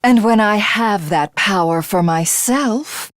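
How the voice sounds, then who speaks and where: A woman's voice: mid-range voice, lively intonation, slow pace, dry recording, studio quality. A woman voicing a haughty alien villainess in a video game, grandiose, theatrical and condescending. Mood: smug, ambitious. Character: haughty female alien commander craving godhood.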